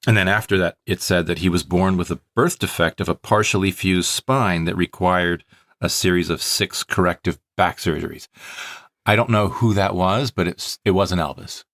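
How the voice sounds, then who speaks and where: Deep-voiced man chatting, close and dry. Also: normal speed, a bit bright, no room sound. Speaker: podcast co-host. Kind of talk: casual chat about AI-written stories.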